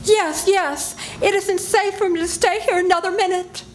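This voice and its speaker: A woman's voice: very high voice, fairly even intonation, moderate pace. An older woman reading the part of a panicky, pleading woman hunted by a killer in a live old-time radio play. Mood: frightened. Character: terrified woman targeted by a killer. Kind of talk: 1940s radio drama reading. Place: senior center event room.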